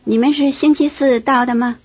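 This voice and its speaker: A woman's voice: sits high, steady tone, breathy edge. Careful woman reading drill prompts, lo-fi. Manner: slow, careful enunciation. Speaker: native-speaker model voice on a language tape. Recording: dull lo-fi tape recording.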